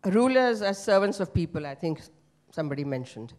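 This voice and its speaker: A woman's voice: lowish voice, very animated, brisk, a little mellow. Older woman speaking at a panel. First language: Gujarati. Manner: conversational, with many fillers and Sanskrit terms. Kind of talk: panel remarks at a book launch. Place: conference hall with an audience. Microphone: handheld microphone.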